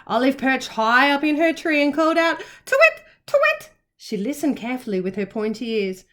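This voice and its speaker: A woman narrating a picture book for young children, with expressive, carefully phrased storytelling. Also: high-pitched, brisk.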